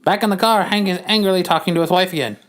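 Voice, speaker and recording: A man's voice: high-pitched, rapid-fire, fairly bright tone. A man narrating stage directions aloud for an amateur comic reading on a podcast.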